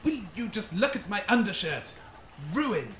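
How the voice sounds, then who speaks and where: An actor voicing a pompous, grumbling, wine-loving little creature who co-hosts a radio show, blustering and stammering melodramatically in an audio comedy. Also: male voice, high voice, sing-song, unhurried, a bit bright, roomy sound, a little hiss or hum, exaggerated voice. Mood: sulky. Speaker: radio co-host.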